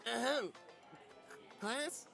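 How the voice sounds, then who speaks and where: A man playing an earnest, ponderous schoolteacher who speaks with measured, dramatic pauses in a visual novel game. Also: very high voice, creaky, bright, forceful tone, faint music behind, full character voice. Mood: stern. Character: earnest, ponderous male schoolteacher addressing his class.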